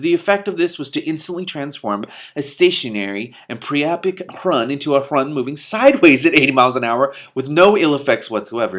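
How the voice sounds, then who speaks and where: A man's voice: medium pitch, fairly bright tone. A man reading a fantasy novel aloud, breaking in with amused asides. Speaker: reader and commentator.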